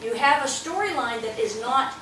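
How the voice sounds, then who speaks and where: A high, very lively woman's voice, laughing and teasing while she coaches someone in front of a group. She sounds far from the mic in a roomy meeting space, with steady noise behind her. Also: normal speed. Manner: animated, laughing, teasing, acts out nervous inner voices. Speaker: presentation coach. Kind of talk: live workshop coaching. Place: meeting room with audience.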